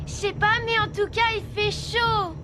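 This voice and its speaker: A woman voicing a chatty, wry schoolchild on an adventure in a French-dubbed children's cartoon, with expressive delivery. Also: extremely high pitch, measured pace, noisy background. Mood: worried.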